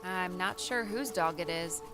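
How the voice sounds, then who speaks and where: A man's voice: high-pitched, a little slow, music in the background, pitched above the performer's own voice. A man voicing a breezy, naive young woman traveler with a casual, airy manner in an audiobook. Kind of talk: audiobook character voice.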